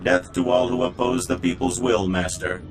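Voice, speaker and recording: A low-voiced combat droid played by a man from a sci-fi game. It declaims in a formal, mechanical, obedient manner. Clear noise sits behind the voice. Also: normal speed, natural voice. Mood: dutiful menace. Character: dutiful, menacing combat droid serving its master. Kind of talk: video game voice acting.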